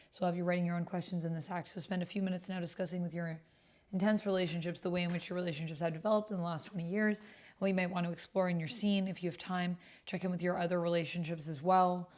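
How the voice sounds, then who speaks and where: A woman with a slightly low voice hosts a casual livestream. She moves between reading messages and prompts aloud and commenting on them in a chatty, conversational way. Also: darkish tone. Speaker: livestream host and tabletop roleplay player.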